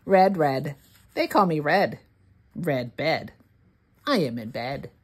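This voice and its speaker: A woman reads a children's rhyming book aloud as a read-along host. Her voice is a little low and slightly husky, and she places each word deliberately for early readers, with lively swoops in pitch.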